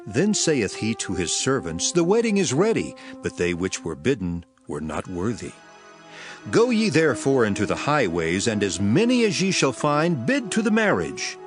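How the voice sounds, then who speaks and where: A man's voice: mid-range voice, huge pitch swings, moderate pace, music in the background. An actor playing a grave, authoritative male teacher in a dramatized scripture reading, speaking solemnly and emphatically. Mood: emphatic storytelling.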